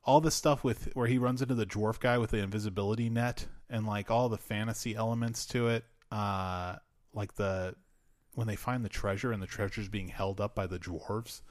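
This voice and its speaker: A man co-hosting a casual film chat, rambling excitedly with many fillers and laughs. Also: fairly low pitch, normal speed, no echo, clean studio sound. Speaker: podcast co-host.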